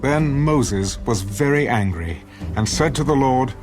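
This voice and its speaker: A man reads scripture narration as the storyteller of an audio drama. His voice sits in a middle register. Music plays clearly behind him. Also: medium pitch, expressive, measured pace.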